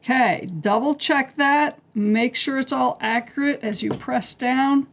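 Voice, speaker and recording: A woman teaching a quilting technique step by step, calm and unhurried, clearing her throat as she is losing her voice. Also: high voice, a little hiss or hum. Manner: calm, unhurried, talks through each step as she works. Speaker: quilting instructor. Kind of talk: step-by-step craft tutorial.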